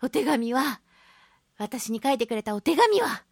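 A woman's voice: sits high, big pitch swings, a little slow, husky, no room sound, clean studio sound. A woman hosting a radio show, telling stories casually and animatedly, acting out quoted remarks. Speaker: radio show host, voice actress. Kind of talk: casual radio talk, storytelling.

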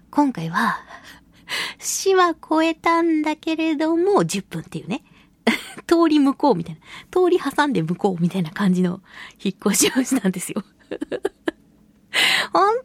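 A woman's voice: medium pitch, a little mellow, husky, no echo. A woman hosting a casual solo radio talk, chatting in a playful manner. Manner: playful, chatty, laughs often. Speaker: radio show host and voice actress.

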